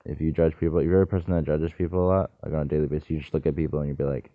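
A man's voice: a bass voice, level tone, racing, no room sound. A man rambling through a loose, jokey solo monologue, wandering between topics and cutting himself off mid-thought. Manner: meandering, self-interrupting, jokey asides. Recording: dry, tinny phone-like sound.

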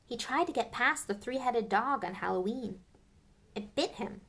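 A woman's voice: a little high, animated, normal speed, gravelly, fairly bright tone, husky, voice pushed up, exaggerated voice. A woman voicing an eager, earnest young schoolboy wizard in an audiobook.

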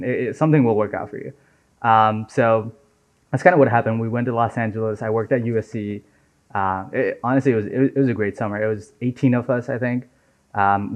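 Relaxed, chatty young man from Nepal. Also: lowish voice. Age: 20s. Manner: relaxed and chatty, many fillers like "right?" and "man". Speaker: software engineer guest. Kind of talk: casual interview chat. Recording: dull lo-fi audio.

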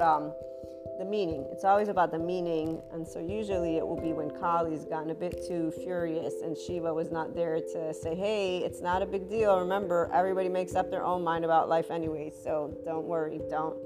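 Chatty woman with a rough, deep voice. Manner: rambling, chatty, with asides. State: slightly rough, deeper voice than usual. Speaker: spiritual podcast host. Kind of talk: casual monologue.

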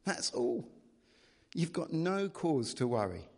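A man's voice: fairly high pitch, sing-song, darkish tone, pitched above the performer's own voice, heavily acted. Man putting on a kindly old West Country shepherd. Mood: gently reassuring. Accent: English West Country. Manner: slow, homely, rustic dialect speech.

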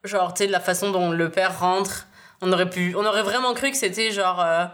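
A woman's voice: lowish voice, fairly even intonation, moderate pace, fairly bright tone. A young woman in casual chat as a podcast co-host, speaking slangy speech peppered with English phrases. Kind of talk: casual chat about a TV series.

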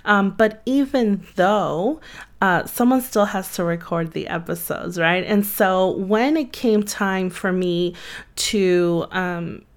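A woman with a somewhat low voice hosts her podcast solo, speaking slowly and without hurry. She talks conversationally, often pausing on fillers as she thinks aloud. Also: smooth. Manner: conversational, unhurried, frequent fillers. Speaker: podcast host and marketing agency CEO.

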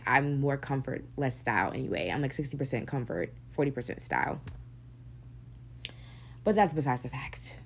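A young woman hosting her own podcast, talking candidly and casually, swearing freely, with a deep, gravelly voice. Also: a little hiss or hum. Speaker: podcast host. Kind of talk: casual solo podcast monologue.

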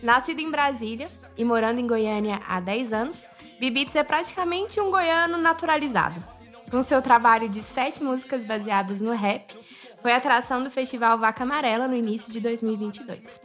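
Brief: woman podcast host with a Goiás accent, cheerful, quick to laugh, a bit high-voiced. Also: a bit bright, faint music behind. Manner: upbeat, laughs often, chimes in. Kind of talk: casual interview chat.

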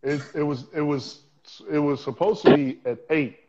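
A man's voice: on the high side, fairly even intonation, moderate pace, warm tone. Brief: man, podcast host in a basement, slightly muffled lo-fi sound, loose profane chat. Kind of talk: casual chat. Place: home basement.

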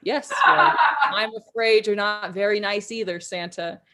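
Defiant young rebel, high and ranting, read by a woman. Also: expressive, unhurried, bright, forceful tone.

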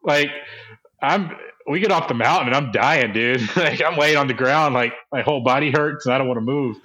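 A man's voice: medium pitch, brisk, sharp tone, muffled recording. A man in his thirties, a guest on a podcast, talking casually with frequent laughs and stuttered starts. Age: 30s. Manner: laughing, repeats words when starting. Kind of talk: casual chat.

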